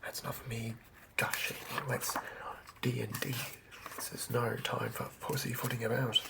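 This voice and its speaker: A whispered ASMR voice with heavy crackle running through it. The host speaks softly and close to the microphone, wandering through thoughts as they come, like a game master thinking aloud. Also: mid-range voice, flat delivery, normal speed, creaky. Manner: hushed, rambling, thinking aloud. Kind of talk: ASMR, unscripted whispered ramble.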